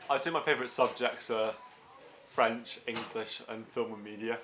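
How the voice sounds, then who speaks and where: An eighteen-year-old young man introducing himself and chatting in a relaxed, self-deprecating way about his school subjects. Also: mid-range voice, normal speed, background noise. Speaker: school leaver interviewee.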